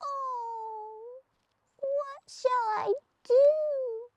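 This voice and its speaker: A woman's voice: very high-pitched, fairly even intonation, extremely slow, darkish tone, a little hiss or hum, voice pushed up, full character voice. A woman voicing a sad, fretful little lizard in a storybook, plaintive and complaining as it begs for help. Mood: miserable, pleading.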